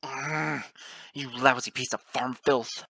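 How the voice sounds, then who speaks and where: A cruel unicorn father from a fantasy audiobook, acted by a woman, snarling in a very low voice. He is menacing and quick to rage, with a forceful edge and a dry, close sound. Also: fairly even intonation, vocal fry, husky, no room sound, exaggerated voice. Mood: raving, enraged. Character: angry, cruel adult male unicorn father. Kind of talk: dramatic audiobook character acting.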